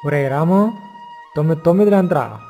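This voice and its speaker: A man voicing a kindly, encouraging village schoolteacher with a teacherly manner in an animated moral story. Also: a little high, a little fast, soft background music. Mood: testing.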